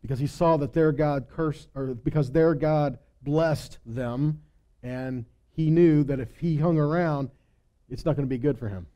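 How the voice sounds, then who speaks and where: A man delivers a scripture-teaching sermon in a fairly high yet warm, relaxed voice. He stresses key phrases, poses questions to his listeners and answers them himself, then drops into quieter asides. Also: measured pace. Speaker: congregation teacher or preacher.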